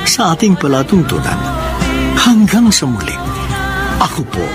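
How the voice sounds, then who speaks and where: A man's voice: fairly high pitch, wildly swooping pitch, a little slow. A man with a Filipino accent doing formal, scripted announcing as the host of a radio drama. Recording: music behind the voice.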